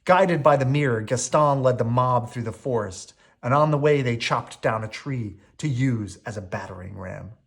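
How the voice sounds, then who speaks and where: A man reading a children's bedtime story aloud with expressive narration. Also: medium pitch, normal speed, vocal fry, few pauses. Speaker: storybook reader.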